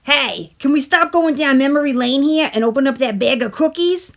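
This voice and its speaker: A woman voicing a bossy, wisecracking sweet tooth in a children's storybook, loud and pleading as it craves candy. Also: sits high, moderate pace, creaky, pitched above the performer's own voice. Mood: brash, demanding. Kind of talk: animated picture-book character voice.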